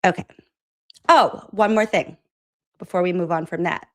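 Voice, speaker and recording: A woman's voice: lowish voice, moderate pace, fairly bright tone. Sarcastic woman hosting political commentary. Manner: sarcastic, incredulous; chatty, laughs often. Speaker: host.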